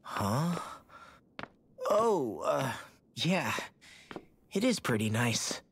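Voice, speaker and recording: A man's voice: medium pitch, big pitch swings, drawn-out words, creaky, slightly rough, heavily acted. An actor playing an easygoing, practical young male adventurer in a video game, casual and colloquial. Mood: distracted. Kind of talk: video game cutscene acting. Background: music.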